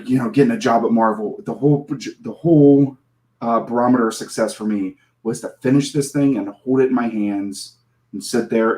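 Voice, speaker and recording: A man's voice: mid-range voice, a little mellow, rough recording. A man in his forties, a comic creator guest on a podcast, telling a rambling, self-deprecating story and laughing often. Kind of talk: casual podcast interview.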